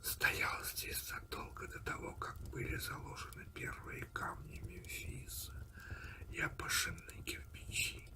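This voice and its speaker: A man narrating a story in a breathy, hushed ASMR whisper, deliberate and unhurried, with pauses between phrases. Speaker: audiobook reader and host of an ASMR channel.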